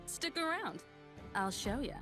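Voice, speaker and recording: A woman's voice: high voice, very animated, unhurried. A woman voicing a brash, tough young brawler cop in a video game, cocky and punchy. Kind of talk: video game character voice lines. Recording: game audio under loud music.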